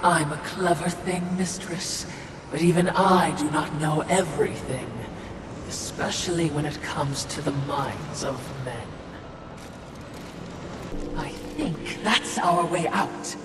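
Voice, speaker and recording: Fussy, distant-sounding servant spirit. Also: female voice, low voice, takes it slowly. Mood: musing, obsequious. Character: clever, fussy female spirit servant, deferential yet snippy. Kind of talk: dramatic video game acting. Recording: distant, echoey hall with background noise.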